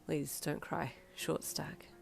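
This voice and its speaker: A hushed, tender boyfriend of nineteen played by a woman narrator, pitched low and kept very soft. He sounds gentle and a little nervous, like the romantic lead of an audio story, with faint music underneath. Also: level tone, slow pace, vocal fry, exaggerated voice.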